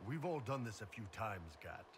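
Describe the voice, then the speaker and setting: An actor voicing a big, gruff male mercenary captain in a video game, laconic and weighty. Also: on the low side, very animated, moderate pace. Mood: wry, easygoing. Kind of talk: dramatic video game acting. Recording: game audio under loud background noise. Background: loud game ambience.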